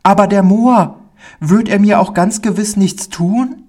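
A man's voice: fairly high pitch, animated, takes it slowly, voice pushed up. A man voicing a timid, naive little village boy from a storybook, eager and anxious. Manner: anxious, naive, dialect-tinged childlike speech.

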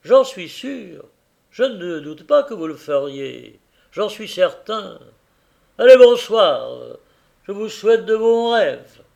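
A man reading aloud and voicing a sardonic, quick-tongued male toy merchant in a storybook. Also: high-pitched, very animated, vocal fry, a little mellow, voice pushed up, full character voice. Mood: mocking. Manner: quick, mocking, brusque.

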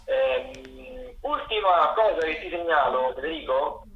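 A man giving spoken listings of theatre and dance events as a radio correspondent, haltingly, searching for words. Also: high voice, gravelly, dull audio, faint music behind. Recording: roomy echo, faint background noise.

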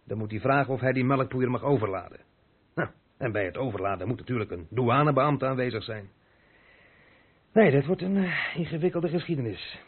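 An actor playing a gruff, reproachful long-haul lorry driver in a Dutch radio drama. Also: male voice, medium pitch, lively intonation, brisk. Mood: irritated, explaining. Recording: muffled narrow-band old radio play.

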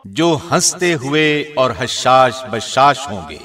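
A man reading a scripture translation as a scripted narration, in a measured, formal way. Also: mid-range voice, animated, bright, forceful tone. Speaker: translation narrator, radio host.

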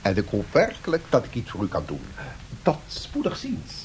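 An actor playing a patronising, evasive international official in a satirical radio drama, speaking in a measured, officious, placating way. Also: male voice, medium pitch, wildly swooping pitch, slight background noise. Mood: brisk, obliging. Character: evasive, patronising male League of Nations bureaucrat.

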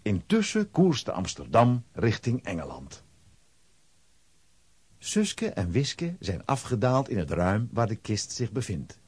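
A man narrating a children's radio play in a dramatic, expressive storytelling style. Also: on the low side, slow pace, husky, no echo.

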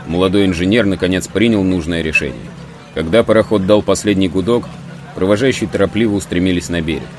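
A man's voice: sits low, quick pace, over music. A man narrating a story as a scripted dramatic audiobook. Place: studio.

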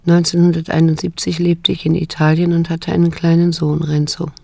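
A haunted middle-aged daughter narrating a radio play. Her voice is gravelly and husky, held almost flat. She sounds brooding and bitter, keeping everything restrained. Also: low-pitched, fairly quick, no echo. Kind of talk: introspective radio drama narration.

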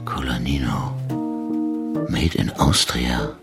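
A man's voice: extremely low pitch, fairly even intonation, slow pace, creaky, loud music behind the voice, full character voice. An actor playing a blunt, stubborn police detective in a German radio crime drama, terse and dry in his probing. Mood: musing. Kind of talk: German radio play acting.

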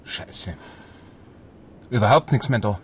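A man's voice: on the low side, lively intonation, slow, slight background noise. An actor playing a grumpy, disgruntled policeman with a Bavarian accent in a radio crime drama, speaking tersely. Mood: frustrated.

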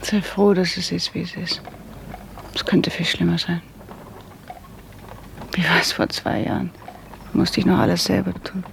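An actress playing a dutiful, matter-of-fact widowed daughter in a German radio play, speaking in an earnest, measured way with a husky, creaky voice. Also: female voice, lowish voice, level tone, noisy background. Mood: reassuring. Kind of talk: German radio play acting, letter monologue.

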